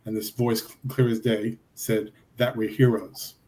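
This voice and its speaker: A man on a podcast, telling his ghost-hunting stories in an excited, emphatic way. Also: lowish voice, measured pace, a little hiss or hum. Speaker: podcast guest, paranormal investigator. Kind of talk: casual chat.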